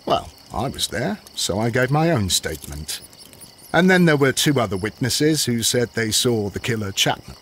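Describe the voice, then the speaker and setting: An actor playing a dutiful Victorian London police constable with an English accent, formal and deferential in a video game. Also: male voice, mid-range voice, big pitch swings, normal speed, a bit bright, a little hiss or hum. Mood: reporting. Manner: deferential, formal witness reporting. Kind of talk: scripted video game acting.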